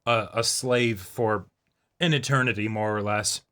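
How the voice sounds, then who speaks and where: A man's voice: lowish voice, a little slow, pushed and bright. A man co-hosting an anime discussion show, chatting casually in a speculative, hedging way. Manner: speculative, hedging, interjects agreement. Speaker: co-host of an anime reaction and analysis show.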